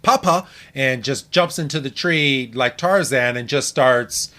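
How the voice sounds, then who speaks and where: A man's voice: medium pitch, unhurried, sharp tone. A man co-hosting a podcast, casually recapping a cartoon episode and often repeating phrases as he explains. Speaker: podcast co-host.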